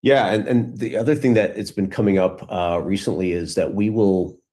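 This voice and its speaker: A man's voice: on the low side, normal speed, warm tone. A man answering questions in a casual, informal way, thinking aloud as he goes. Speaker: guest speaker, community founder.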